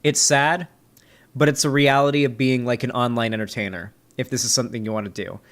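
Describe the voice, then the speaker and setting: A man's voice: medium pitch, fairly even intonation, fairly quick, fairly bright tone. A young man from Florida with a slight Southern accent, talking in a casual, rambling way full of fillers, his voice a little nasal after a sneeze. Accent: slight Southern American. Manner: rambling, filler-heavy, frank. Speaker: streamer reviewing debates. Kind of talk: casual commentary chat.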